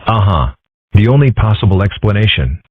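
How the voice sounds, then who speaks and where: A man voicing a dry, philosophical young cartoon fox boy in a deadpan way for a comic strip dub. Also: on the low side, a little fast, slightly rough, no echo. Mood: dry, skeptical. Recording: muffled phone-like audio.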